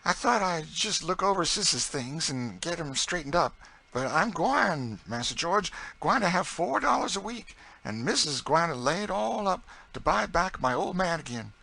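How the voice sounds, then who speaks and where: A man's voice: a little high, big pitch swings, moderate pace, gravelly, pushed and bright, pitched above the performer's own voice, heavily acted. A man voicing an eager, motherly enslaved woman cook in an audiobook, with a folksy dialect and exclamatory delivery. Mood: excited. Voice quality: folksy dialect speech with dropped sounds. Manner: exclamatory, emphatic. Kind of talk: audiobook character dialogue.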